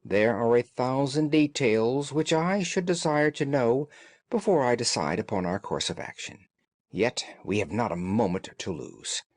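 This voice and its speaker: Guy doing a sharp-minded gentleman detective, formal, deliberate, lots of pitch movement, dry recording. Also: lively intonation, no echo. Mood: grave. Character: shrewd, commanding gentleman detective, calm and analytical.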